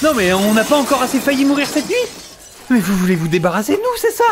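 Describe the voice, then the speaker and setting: A man's voice: very high voice, wildly swooping pitch, fast talker, exaggerated voice. Sarcastic, easily exasperated male hiker, played by an actor, swooping delivery, for a comedy audio serial. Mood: indignant. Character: irritable, sarcastic stranded snowshoe hiker. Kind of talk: comedy audio drama acting in French. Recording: clear music bed behind the voice.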